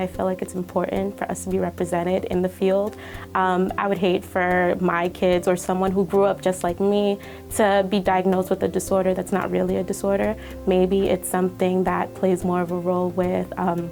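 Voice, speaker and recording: Young woman, even creaky voice. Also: mid-range voice. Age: young adult. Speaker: speech pathology graduate student researcher. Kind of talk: prepared spoken testimonial about own research.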